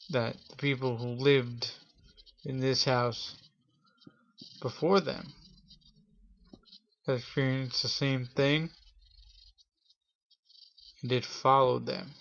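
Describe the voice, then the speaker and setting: A man hosting a show and improvising a spooky story for his viewers, speaking haltingly in short phrases with pauses. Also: medium pitch, gravelly, muffled recording. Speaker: host telling a scary story.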